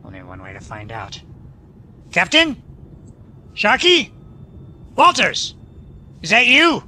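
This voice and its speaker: A man's voice: high-pitched, wildly swooping pitch, slow, sharp tone, exaggerated voice. An actor playing an easygoing but jumpy spaceship watchman in an audio drama. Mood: wary, then alarmed shouting.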